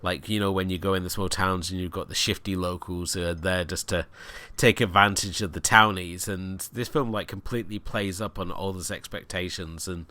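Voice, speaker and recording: A man co-hosting a podcast, giving relaxed, enthusiastic film recommendations. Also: sits low, moderate pace, few pauses. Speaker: podcast co-host. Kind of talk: casual film discussion.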